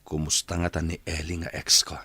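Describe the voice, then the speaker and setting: A man's voice: rumbling low, monotone, fairly quick, bright, forceful tone, slightly rough, dry recording, full character voice. A man acting in a radio drama as a lovelorn man searching for his former sweetheart. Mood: wistful.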